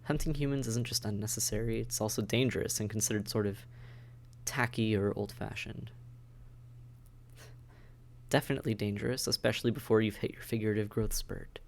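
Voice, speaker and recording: A man's voice: lowish voice, fairly even intonation, a little fast, gravelly, slight background noise. A man acting a friendly, dry-witted vampire caseworker in a conversational audio roleplay, wry, understated and matter-of-fact. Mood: easygoing, friendly. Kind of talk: conversational audio roleplay, one side of a dialogue.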